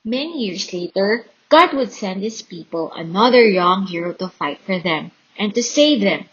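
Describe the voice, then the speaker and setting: A woman reading a children's Bible story aloud in an expressive, dramatic and deliberate storytelling style. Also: mid-range voice, dull audio, slight background noise. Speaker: storybook reader.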